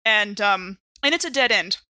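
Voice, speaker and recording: A woman's voice: mid-range voice, lively intonation, moderate pace, bright, forceful tone, dry recording. A woman playing a tabletop game, casually improvising details about her character and thinking aloud in loose, conversational phrases.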